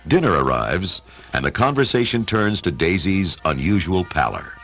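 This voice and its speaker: A man's voice: low-pitched, very animated, moderate pace, a bit bright, soft background music. A man reading scripted narration for a dramatic audio story.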